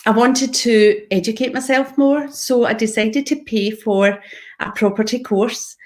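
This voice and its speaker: A woman's voice: a little high, steady tone, unhurried. A middle-aged woman with a Glasgow Scottish accent, telling her own story in a chatty live talk, going off on tangents and asking viewers questions directly. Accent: Glaswegian Scottish. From Scotland, Glasgow. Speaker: public speaker.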